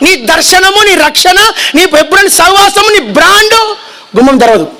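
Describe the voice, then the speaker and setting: Male preacher, piercing high voice, shouts, drills the congregation with repeated lines. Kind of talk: fiery sermon.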